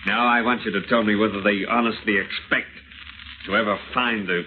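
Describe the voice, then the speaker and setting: An actor playing a grief-stricken, vengeful husband with intense, deliberate delivery in old radio melodrama style. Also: male voice, on the low side, fairly even intonation, lightly acted. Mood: grimly insistent. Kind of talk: 1930s-40s radio acting, melodrama. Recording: noisy, scratchy, tinny old-time radio recording.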